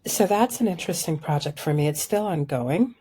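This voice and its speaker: A woman from Sudan whose first language is Arabic, speaking as a podcast guest in a thoughtful, measured way. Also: sits low, expressive, dark tone, breathy edge. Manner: thoughtful, measured, reframes questions. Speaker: guest, environmental journalist. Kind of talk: conversational interview.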